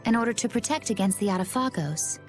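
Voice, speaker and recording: Woman playing a calm, composed woman adventurer. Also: on the high side, fast talker, faint music behind, lightly acted. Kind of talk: anime-style game voice acting.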